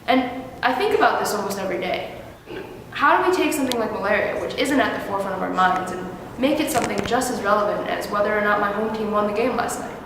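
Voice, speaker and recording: A woman in her twenties, a university student, introducing herself and delivering an earnest scripted pitch. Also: fast, very echoey, in a large hall, background noise. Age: 20s.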